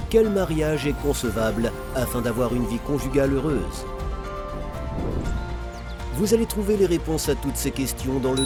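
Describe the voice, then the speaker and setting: A man reading scripted promotional narration as a trailer announcer. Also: mid-range voice. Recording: music behind the voice. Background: clear music.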